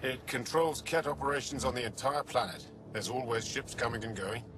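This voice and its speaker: An actor playing a matter-of-fact alien lookout soldier in a video game, with a blunt, dry manner. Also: male voice, mid-range voice, fairly quick, fairly bright tone, natural voice. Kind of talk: dramatic game dialogue. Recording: clear noisy outdoor ambience behind.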